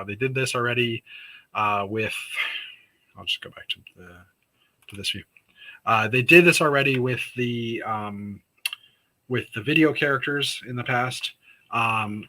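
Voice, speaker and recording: Raspy-voiced man hosting a livestream. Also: medium pitch, sharp tone, poor audio. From Canada. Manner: rambling, many fillers and restarts. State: raspy, sore throat. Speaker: host of a weekly livestream chat. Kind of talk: casual livestream chat reading news.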